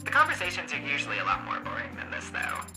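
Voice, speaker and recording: A woman voicing a brash, flamboyant, chatty female android court adjutant in a video game, loud and theatrical. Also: deep voice, huge pitch swings, quick pace, music in the background, pitched above the performer's own voice, rough recording. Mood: teasing. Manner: loud, theatrical, swooping, playful. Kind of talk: video game character voice acting.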